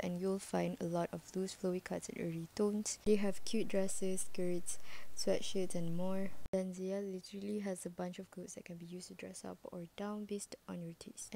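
A woman's voice: fairly low pitch, gravelly, dark tone. A young adult woman with a Malaysian accent, chatting casually to her camera in a vlog. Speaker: vlogger. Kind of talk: casual vlog chat mixing Malay and English. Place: large wood-panelled resort house, indoors.

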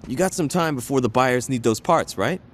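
A man's voice: medium pitch, lively intonation, fairly quick, natural voice. A man playing a goofy, laid-back young mechanic in a gang, slangy and rambling, in a video game. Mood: laid-back, slightly sheepish. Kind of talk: video game cutscene acting.

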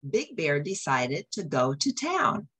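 A woman's voice: sits low, sing-song, slow pace, fairly bright tone, breathy edge. A woman reading a picture book aloud as a storyteller, expressively, with explanatory asides to young listeners.

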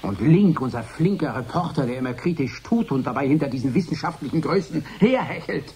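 A man's voice: on the high side, lively intonation, fairly quick, dark tone, a little hiss or hum. An actor playing a mocking man in his late thirties in a radio drama, with a sardonic, taunting delivery. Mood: mocking, gloating. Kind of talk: 1980s German radio drama acting.

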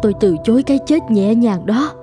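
A woman's voice: a little high, animated, a little fast, dark tone, soft background music, natural voice. An actress playing a devoted, emotional mother in a cartoon, tender, earnest and dramatic. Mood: resolute. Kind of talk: Vietnamese cartoon voice acting.

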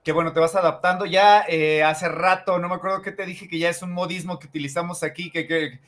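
A man's voice: high-pitched, steady tone, fairly quick, fairly bright tone. A Mexican man in his forties chatting casually as a music show host, rambling and repeating his phrases. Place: indoor room, on a video call. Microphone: video call, wearing headphones.

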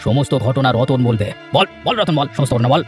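A man acts out an elderly, dignified village gentleman from a fairy tale cartoon. He speaks in a much lowered voice, emphatic and righteous, often repeating his words. Faint music plays underneath. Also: expressive, brisk, close to an everyday voice. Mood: apologetic, grave.

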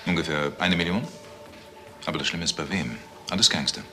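Male underworld insider, radio crime play: deep, wry, loyal, music underneath. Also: fairly quick, bright, forceful tone. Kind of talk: German crime radio drama acting.

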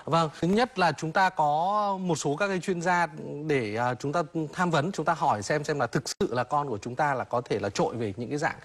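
A man in his thirties, an expert guest in a TV studio interview, explaining at a measured pace with many hesitation fillers, on a lapel mic. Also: on the high side, a bit bright. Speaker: child psychology expert guest.

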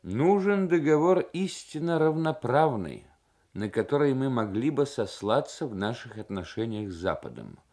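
A learned Soviet diplomat, played by a male reader, speaks with thoughtful assurance. His phrasing is formal and deliberate, as befits a serious radio reading of a historical novel. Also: mid-range voice, big pitch swings, darkish tone, voice pushed up. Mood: assured, reflective.

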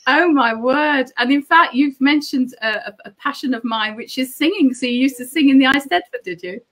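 Woman host, a touch high-pitched, gushing, chats on a video call. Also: moderate pace, a bit bright. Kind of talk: casual interview chat.

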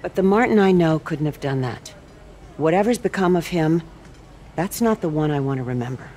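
A woman playing a kind, resolute older aunt in a video game, gentle and candid. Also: low-pitched, expressive, normal speed, a little hiss or hum. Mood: weary, resolute. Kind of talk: dramatic game voice acting.

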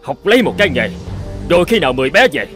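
A man's voice: on the high side, very animated, racing. An actor playing a stern, stubborn middle-aged village father in a cartoon drama, commanding and emphatic as he scolds. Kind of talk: animated cartoon drama dialogue. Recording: music behind the voice.

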